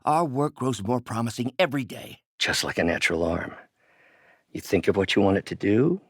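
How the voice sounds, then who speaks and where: An actor playing a brilliant, bitter middle-aged scientist turned villain in a video game, with precise, articulate, grandiose delivery. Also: male voice, lowish voice, sing-song, normal speed, fairly bright tone, husky, no room sound, clean studio sound, heavily acted. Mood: earnest, brisk. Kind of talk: dramatic game character voice lines.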